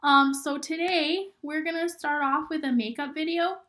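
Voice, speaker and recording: High-voiced young woman, slightly hoarse from a cold, casual rambling tutorial delivery. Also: steady tone, moderate pace, sharp tone. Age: young adult. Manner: chatty, filler-heavy, lots of "um" and "like". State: hoarse, recovering from illness. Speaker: rodeo queen giving makeup tips.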